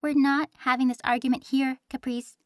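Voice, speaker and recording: Woman playing a very high-voiced earnest angel. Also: level tone, normal speed, no echo, studio quality, natural voice. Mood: flustered, insistent. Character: earnest, prim, flustered young female angel. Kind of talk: audio roleplay acting.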